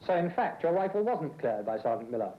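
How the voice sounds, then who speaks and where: A stern army officer in an old 1970s training film. He questions sharply with big swings in pitch, over a noisy soundtrack. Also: sing-song, a little fast, lo-fi sound. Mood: stern, questioning.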